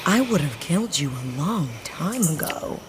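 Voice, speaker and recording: A tough woman vampire hunter, with a low voice and dry, threatening wit. It is audio drama acting, delivered deadpan, with faint ambient noise behind. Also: normal speed. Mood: cool menace.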